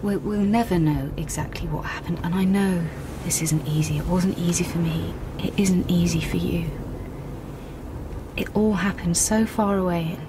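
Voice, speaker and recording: A woman's voice: lowish voice, fairly even intonation, normal speed, natural voice. An actress playing an evasive, strained mother in a naturalistic audio drama, speaking hesitantly to her child. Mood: weary, pleading. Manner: hesitant, hushed at times. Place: recorded on location. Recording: noisy location recording, traffic ambience.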